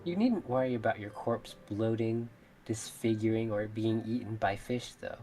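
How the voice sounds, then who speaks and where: A woman voicing a mermaid in a visual novel, measured, formal and coolly reasonable, politely menacing. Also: rumbling low, mellow. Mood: serenely menacing. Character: calm, menacing female mermaid, politely murderous. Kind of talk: visual novel character read-aloud. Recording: music behind the voice.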